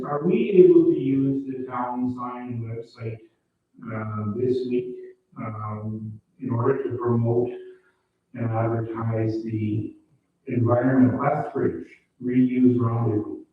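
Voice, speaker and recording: A man whose first language is French gives his councilor report at a town council meeting, pausing and repeating himself as he searches for words. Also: medium pitch, slight background noise. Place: council chamber. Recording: echoey chamber, distant phone-like mic.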